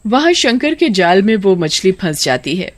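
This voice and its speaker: Casting: female narrator, average pitch, scripted storytelling for young children. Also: very animated, normal speed.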